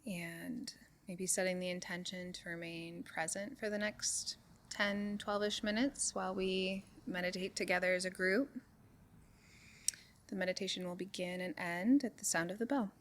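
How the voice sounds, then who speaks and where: A woman leading a guided meditation in a calm, soothing way, giving soft instructions with unhurried pauses. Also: lowish voice, a little hiss or hum. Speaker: meditation group leader.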